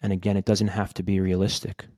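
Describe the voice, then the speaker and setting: A man leading a guided meditation in a gentle, unhurried manner, speaking in short phrases with long pauses. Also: sits low, monotone, dry recording, studio quality. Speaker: podcast host guiding a meditation.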